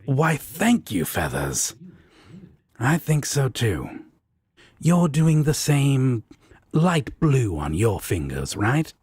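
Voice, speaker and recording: A man playing a teasing, easygoing devil roommate in an audio drama, with a husky, raspy voice and a drawling, fond manner. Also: mid-range voice, sing-song, slow pace, a little mellow, no room sound, clean studio sound, full character voice. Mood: teasing, relaxed. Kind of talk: roleplay audio drama, comfort scene.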